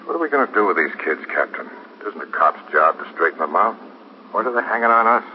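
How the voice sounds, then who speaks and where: A man's voice: fairly low pitch, animated, fast talker, natural voice. A man playing a weary, matter-of-fact police lieutenant in a 1950s radio drama. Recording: muffled, noisy 1950s radio recording.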